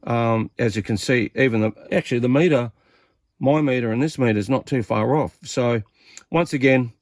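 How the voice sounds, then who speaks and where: A man's voice: mid-range voice, normal speed, dry recording, studio quality. A man giving a casual hands-on demonstration as a radio hobbyist, rambling informally and thinking aloud as he goes.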